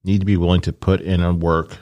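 A man's voice: sits low, level tone, fairly quick, a little mellow, lo-fi sound. A man in casual chat, teasing and asking nosy questions.

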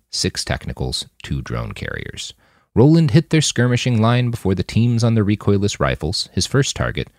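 A low-voiced man narrates action fiction. His pitch swings widely across each sentence, and the recording is close and dry. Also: darkish tone, no echo. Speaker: author reading his own fiction on a podcast. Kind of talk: audiobook-style narration of an action novel.

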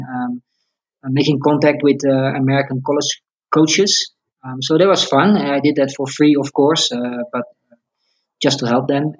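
A man's voice: medium pitch, highs cut off. A man in his forties from the Netherlands with a Dutch accent, a coach chatting casually as an interview guest. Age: 40s.

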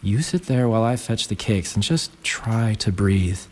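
A sweet young man fusses gently in an audio drama. His voice is slightly husky and moves up and down expressively, full of eager, innocent care. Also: on the low side, expressive, moderate pace. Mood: soothing concern. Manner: gentle, fussing, solicitous. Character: kindly, eager young man, a caring host.

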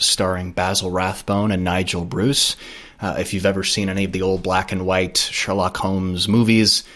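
A man with a very deep voice speaks as a guest in a casual podcast interview. He explains things thoughtfully, with hesitations and repeated words as he finds his phrasing. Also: fairly bright tone. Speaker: podcast guest, audio drama creator.

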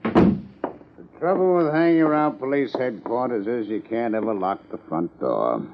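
Dry, teasing American police lieutenant, lively delivery, old radio crime show with dull sound. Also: male voice, mid-range voice, a little slow, heavy background noise. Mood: sardonic griping. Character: sardonic, needling male police homicide lieutenant. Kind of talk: 1950s American radio detective drama acting. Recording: dull 1950s radio recording.

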